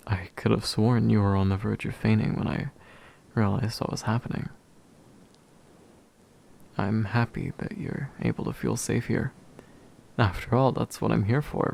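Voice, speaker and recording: Guy doing a kind soothing demon for asmr roleplay, lowish gravelly voice, talks soft and tender. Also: a little hiss or hum. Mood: warm, caring. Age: young adult. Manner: soothing, hushed, unhurried, tender. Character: gentle, reassuring, slightly bashful male demon of envy.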